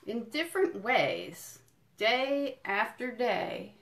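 A woman doing a children's storytime, reading a picture book in deliberate phrases and explaining things simply to young listeners. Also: a little high, big pitch swings, a bit bright. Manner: addresses young listeners directly with questions and encouragement. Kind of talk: children's storytime read-aloud with friendly asides.

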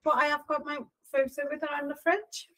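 A young woman co-hosting a podcast, telling excitable stories and acting out what people said, on a sofa into a boom mic. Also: brisk. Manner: excitable storyteller, acts out quotes. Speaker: podcast co-host. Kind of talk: casual chat. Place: small wood-panelled room, on a sofa. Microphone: desk mic on boom arm.

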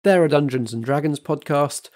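A man's voice: fairly high pitch, normal speed, darkish tone. A man, acting as the show's theatrical compere and reading out announcements in a camp, luvvie manner. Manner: camp, luvvie stage host. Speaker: podcast compere.

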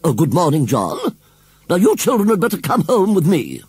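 A man's voice: high-pitched, huge pitch swings, normal speed, a little mellow, breathy edge, no room sound, voice pushed up, heavily acted. A man voicing a prim, haughty older village lady who speaks in a fussy, reproving way. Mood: indignant. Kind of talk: storybook reading.

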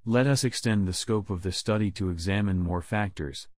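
A man reading scripted language test sentences aloud in a measured, deliberate way. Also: low-pitched, darkish tone, no room sound. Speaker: test prompt reader.

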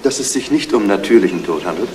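Male actor for inquiring state attorney, deep and brisk, formal, noisy vintage radio crime drama. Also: animated, natural voice. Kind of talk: 1970s German radio crime drama acting. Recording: distant, noisy old radio recording.

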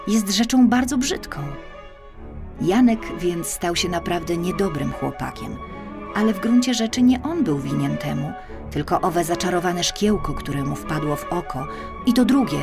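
A woman reading a scripted children's story as an audiobook narrator, with expressive, deliberate phrasing. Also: fairly low pitch. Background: music.

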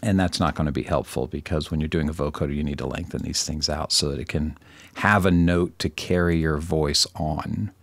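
Guy with a deep creaky voice walking thru software, thinking out loud, dry close mic. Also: steady tone, brisk, dry recording. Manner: informal, thinking aloud, self-deprecating. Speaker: audio software tutorial presenter. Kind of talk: casual screen-recorded tutorial.

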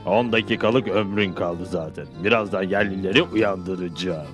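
An actor playing a bad-tempered, bossy cartoon outlaw leader, gruff and threatening. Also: male voice, sits low, normal speed, lightly acted. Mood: menacing. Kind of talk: cartoon dub acting. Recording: music behind the voice.

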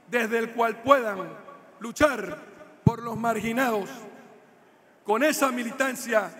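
Slow, emphatic man at a rally. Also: very high voice, a bit bright. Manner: slow, emphatic delivery with repeated phrases. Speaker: political speaker. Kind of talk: political rally speech. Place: large indoor stage at a rally. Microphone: podium microphones. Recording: reverberant hall.

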